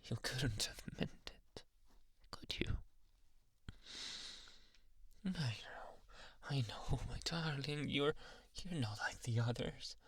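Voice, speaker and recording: A man playing a jealous, obsessively loving anime boyfriend in an ASMR roleplay, breathy and often whispering, slow and menacingly tender, close to the microphone. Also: mid-range voice, dry recording. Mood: soothing, possessive. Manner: slow, intimate, menacingly tender, lingering on words. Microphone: very close, dry ASMR microphone.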